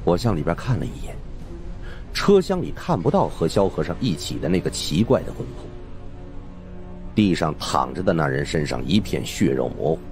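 A man narrating a suspenseful audiobook story in an expressive, dramatic first-person style. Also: deep voice, normal speed. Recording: faint music behind the voice.

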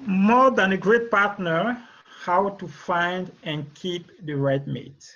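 Man, Cameroonian accent, high husky voice, explaining as interview guest, muffled video call. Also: takes it slowly, fairly bright tone. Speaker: guest author and relationship expert. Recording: dull, lo-fi video call audio.

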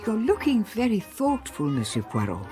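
An actress playing a kindly, gracious lady hostess in a radio drama, speaking gently and courteously. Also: medium pitch, huge pitch swings, moderate pace, over music.

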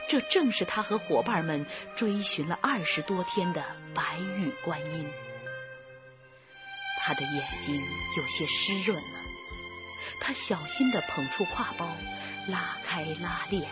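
A woman's voice: on the low side, lively intonation, measured pace. A woman giving scripted narration for a radio drama. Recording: dull sound, loud music behind.

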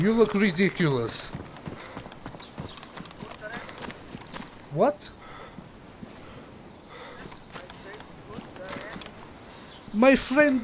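Brash mock-Russian commander a man puts on, high-pitched, bragging in broken English, with a faint hiss on the headset mic. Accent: put-on Russian. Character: crude boastful Russian male squad commander. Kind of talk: in-game voice chat roleplay.

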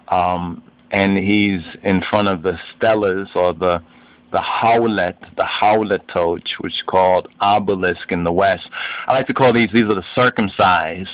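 A man narrates an informal lesson on religious history, speaking slowly in a fairly low voice. He is didactic and halting, often restating a term in other words. The recording is dull and lo-fi, like a home-made voice-over. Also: no room sound. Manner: didactic, halting delivery, repeats and glosses terms in several languages. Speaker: video narrator and lay religious teacher.

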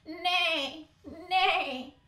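A woman puts on a self-important storybook stallion with a dismissive whinny. The delivery is bright and high, with room echo around it. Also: drawn-out words, full character voice. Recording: roomy indoor echo.

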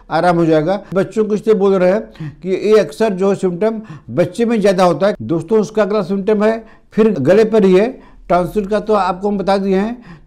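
A man's voice: high voice, mellow. An older man from India, a homeopathy doctor, explaining remedies straight to camera in a plain, repetitive teaching manner, recorded on a lapel mic in a studio. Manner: addresses viewers as friends, repetitive explanatory phrasing. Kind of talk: direct-to-camera health lesson. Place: indoor studio before a green screen.

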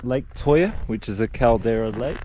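Relaxed man guiding a ski tour outdoors. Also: medium pitch, normal speed, lo-fi sound. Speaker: ski guide. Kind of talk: casual guiding chat on camera. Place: outdoors on a snowy mountain. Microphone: action camera on a pole at arm's length. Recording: outdoor wind noise on an action camera.

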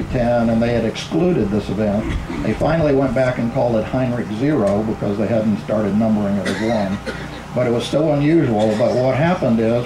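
A man on a discussion panel, explaining science to an audience in a measured way with pauses mid-sentence. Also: medium pitch. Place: large open-sided tent outdoors. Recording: echoey, noisy outdoor event tent.

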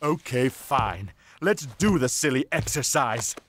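A man's voice: mid-range voice, animated, a little slow, very noisy, natural voice. A man voicing a pompous, neurotic mad scientist villain in a video game, grandiose and fussy. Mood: nervous, grudging. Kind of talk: video game cutscene acting.

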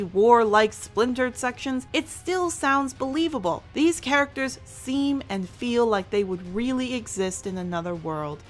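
A woman hosting a review in semi-scripted commentary, speaking in an enthusiastic, emphatic way. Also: mid-range voice. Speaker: host and reviewer.

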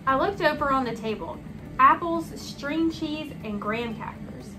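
A young adult woman reading a children's storybook aloud in an animated, deliberate way. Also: high voice, poor audio. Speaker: storybook reader. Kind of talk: expressive children's read-aloud narration. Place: small furnished room indoors. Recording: music behind the voice.

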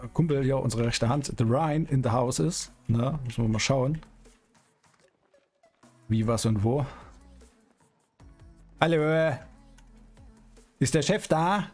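A man's voice: mid-range voice, measured pace, long pauses, darkish tone, faint music behind. Chatty man streaming a roleplay game. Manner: chatty, colloquial, playful. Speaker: streamer hosting a GTA roleplay series. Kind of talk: casual gameplay commentary and in-character chat. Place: small indoor streaming room.

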